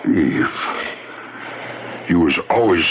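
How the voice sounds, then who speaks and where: An actor playing a solemn rustic countryman with a husky voice and halting, folksy speech in a radio drama. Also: deep voice, animated, a little hiss or hum. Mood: solemn, accusing. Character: plain rustic countryman, solemn, questioning an old friend. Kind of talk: old-time radio drama acting. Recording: dull radio recording.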